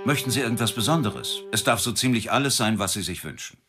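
A man's voice: fairly low pitch, lively intonation, fast talker, over music, lightly acted. An actor playing a courteous, matter-of-fact male prison warden in a German radio play. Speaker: actor in a radio crime drama.

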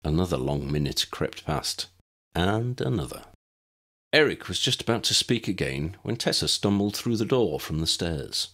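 A man narrates an audiobook story. His voice is low and gravelly at the edges, with lively rises and falls through the scripted prose. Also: normal speed.

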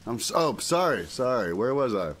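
A man's voice: mid-range voice, big pitch swings, moderate pace, fairly bright tone, slight background noise. A man giving a loose, comedic storybook reading, bumbling and easily sidetracked, with theatrical expression and muttered asides. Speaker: comic reader of a Christmas poem.

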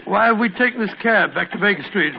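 A man's voice: high-pitched, lively intonation, fast, gravelly, slight background noise. An actor playing a bluff, good-natured older male doctor, hesitant and stammering, in a 1940s radio drama. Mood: puzzled. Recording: tinny old radio broadcast.

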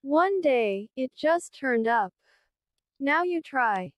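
A woman voicing a friendly animated girl helper in a learning app, encouraging and carefully enunciated. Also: high voice, takes it slowly, no room sound. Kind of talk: slow encouraging read-aloud prompts.

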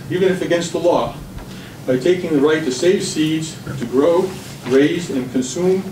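A middle-aged man reading prepared testimony in a measured, formal way at a public hearing. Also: fairly high pitch. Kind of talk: prepared testimony read aloud. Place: government committee hearing room. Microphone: camera across the room. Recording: distant, echoey room recording with background noise.

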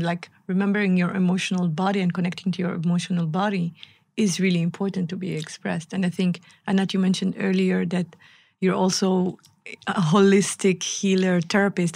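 A woman's voice: fairly low pitch, vocal fry, a little mellow, no echo. A middle-aged woman co-hosting a studio podcast, reflective and encouraging as she asks questions. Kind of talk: interview conversation. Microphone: studio mic, headphones.

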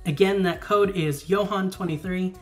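A man's voice: high voice, steady tone, moderate pace, soft background music. A young man chatting casually to his viewers in a travel vlog, giggly and direct, filmed at home by a window. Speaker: vlogger.

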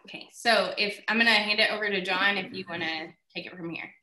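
A young woman moderating a webinar from an office over a video call, briskly reading panelist names from a list. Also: medium pitch, steady tone, pushed and bright, roomy sound, a little hiss or hum. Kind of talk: introducing panelists. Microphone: laptop webcam on a video call.

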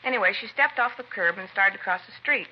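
A woman's voice: medium pitch, expressive, quick pace, lightly acted. A woman playing a brusque, casual American working woman questioned in a 1950s police radio drama. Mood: insistent. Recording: dull, hissy 1950s radio recording.

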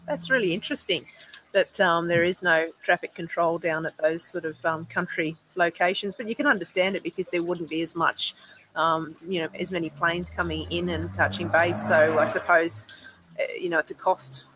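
Bright-voiced Australian woman interviewing, noisy outdoors. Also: lowish voice, fairly even intonation, moderate pace. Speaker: interviewer, host. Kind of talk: conversational interview. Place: sunlit garden outdoors, video call. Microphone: wired earphone headset. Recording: clear background noise, outdoor ambience.

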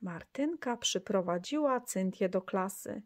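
A woman reading a children's story aloud, lively, with the children's lines in brighter, higher voices. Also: a little slow.

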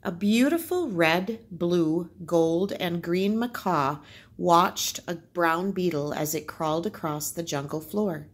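A woman teacher reads aloud and explains things simply. Her voice is husky and slightly low, and she takes her time over the words. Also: takes it slowly. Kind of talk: storytime lesson, reading aloud with explanations.

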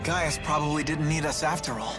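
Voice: mid-range voice, a little fast, close to an everyday voice. An actor voicing an earnest, level-headed teenage boy hero in a video game, mild and sincere. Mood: focused. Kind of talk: game voice acting. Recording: loud music over dialogue.

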